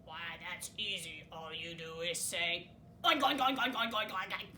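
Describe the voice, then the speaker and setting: A woman voicing a friendly male pig in a children's story, talking low and matter-of-fact. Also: measured pace, pushed and bright, a little hiss or hum.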